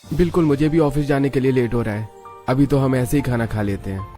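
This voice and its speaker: A man's voice: mid-range voice, fairly quick, mellow, soft background music, lightly acted. A man playing a complaining young office-going husband in a moral story. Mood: dissatisfied. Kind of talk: acted dialogue in a moral story.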